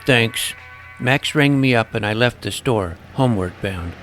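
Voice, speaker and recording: A man's voice: mid-range voice, animated, a little slow, music in the background. A man narrating a first-person scripted audio drama as its young protagonist, earnest and precise.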